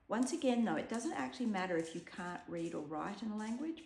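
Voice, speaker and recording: A woman's voice: fairly low pitch, vocal fry, darkish tone. Casual woman explaining an app. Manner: chatty, explanatory, frequent ums. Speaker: app tutorial presenter. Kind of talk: casual walkthrough demo. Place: home room with a dog around. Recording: echoey room.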